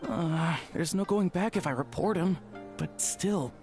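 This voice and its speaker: A man's voice: fairly high pitch, unhurried, over music. A man playing a hesitant, worried townsman in a video game. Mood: anxious. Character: anxious, hesitant townsman torn by worry.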